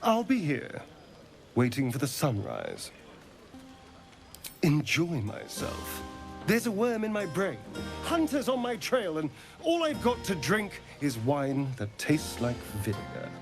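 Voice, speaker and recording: An actor playing a flirtatious, self-indulgent vampire rogue in a video game, with an arch, theatrical drawl. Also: male voice, on the high side, wildly swooping pitch, slow pace, over music, full character voice. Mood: bitter, petulant. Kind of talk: video game character acting.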